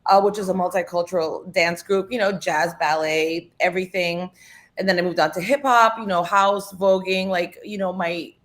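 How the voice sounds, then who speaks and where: Animated woman from the Philippines. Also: lowish voice, moderate pace. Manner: animated, storytelling with many "you know" fillers. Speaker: guest. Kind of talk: casual interview chat. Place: radio studio.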